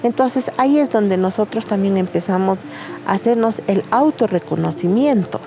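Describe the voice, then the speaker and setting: A woman's voice: medium pitch, animated, normal speed, over music. A woman tells her story with affectionate diminutives. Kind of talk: documentary interview.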